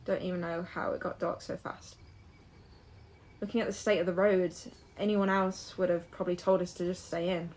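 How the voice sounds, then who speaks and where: An actress playing a frightened, guilt-ridden young woman in an audio drama, speaking in halting, broken-off phrases. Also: fairly low pitch, level tone, darkish tone, natural voice, poor audio. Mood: subdued, remorseful. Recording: music behind.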